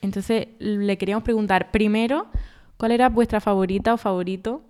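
A woman's voice: mid-range voice, steady tone, fairly quick, darkish tone, smooth. A woman co-hosting a casual podcast, laughing often and steering the talk. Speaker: podcast co-host. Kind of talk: casual banter.

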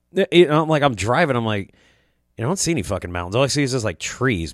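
A man's voice: fairly low pitch, no room sound, studio quality. A young man hosting a podcast, telling a rambling, profane story in a casual monologue, his throat sore from allergies. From Quad Cities area, US Midwest. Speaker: podcast host.

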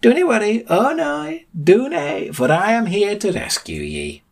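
A silly storybook cousin with a high, husky voice. He is eccentric and self-important, speaking in a quaint archaic dialect. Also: huge pitch swings, unhurried, full character voice. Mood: reassuring.

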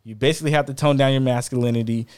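A man co-hosting a casual podcast, talking in an animated, rambling way with many restarts, his voice fairly high. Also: rapid-fire, smooth. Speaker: podcast co-host.

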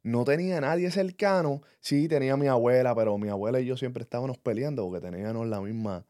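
Puerto Rican man, 30s, podcast co-host. Earnest, reflective storyteller, uses fillers. Mid pitch, dead-dry room. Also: fast, mellow, dry recording. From Puerto Rico. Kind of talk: casual personal chat.

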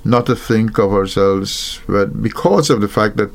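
Gravelly man from Guyana, halting. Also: on the low side. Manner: thoughtful, halting, many fillers and restarts. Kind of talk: reflective talk on marriage.

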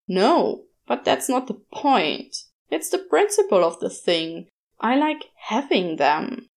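A woman's voice: on the high side, sing-song, slow, vocal fry, heavily acted. A woman voicing a wily, easily vexed male demon who mutters, grumbles and snarls. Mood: huffy.

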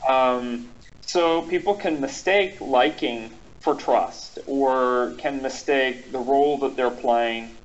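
A man's voice: mid-range voice, slow. A man speaking as a guest expert in a thoughtful conversation, reflective and full of ums and restarts. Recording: dull lo-fi audio.

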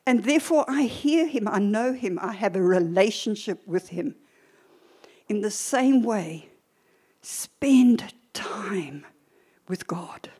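An older woman preacher teaching a church sermon in a deliberate, emphatic way, with short pauses and repeated phrases. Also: mid-range voice, lively intonation, a little mellow, slightly rough.

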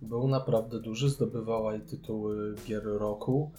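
A man's voice: fairly low pitch, fairly even intonation, moderate pace, warm tone, soft background music. A man chatting casually about board games as a podcast co-host. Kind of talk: casual board game discussion.

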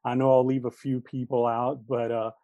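A man's voice: medium pitch, level tone, brisk, mellow, dry recording, highs cut off. A man in his thirties who grew up speaking Spanish, answering interview questions about his life as an elementary school teacher, earnest and reflective, with many "uh"s and "you know"s. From Texas, United States.

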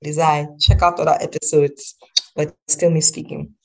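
A woman's voice: sits low, level tone, fairly quick, gravelly, poor audio. Playful Nigerian woman in her twenties. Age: 20s. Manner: playful, joking, laughs often. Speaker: podcast co-host. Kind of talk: casual chat.

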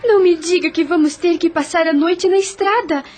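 An actress in a radio drama playing a gentle, earnest young heiress with polite, formal, expressive speech. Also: female voice, extremely high pitch, brisk, faint music behind. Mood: anxious.

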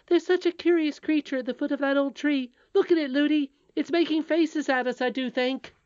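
Woman doing a high-pitched chattering little princess. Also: steady tone, a little fast, a little mellow, no echo, muffled recording, lightly acted. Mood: excited, insistent. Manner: chattering, insistent. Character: chattering, curious little princess girl, fearless.

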